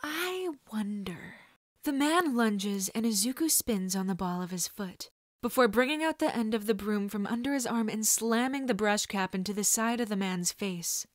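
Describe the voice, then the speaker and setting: A woman reading a story aloud as scripted dramatic narration, expressive and at times dropping to hushed tones. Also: medium pitch, normal speed, no echo, clean studio sound. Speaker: fan fiction audiobook reader.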